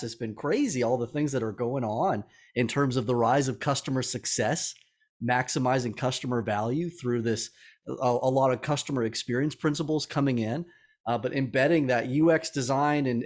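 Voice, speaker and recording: A man's voice: mid-range voice, darkish tone. A man hosts a webinar over a video call, introducing the guest with enthusiasm. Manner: upbeat, enthusiastic. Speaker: host.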